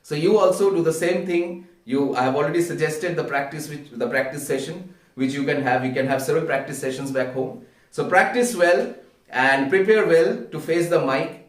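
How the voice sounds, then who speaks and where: A man with an Indian accent giving an instructional talk as a public speaking coach, in a didactic way that often checks the listener is following. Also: on the high side. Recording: echoey room, distant microphone.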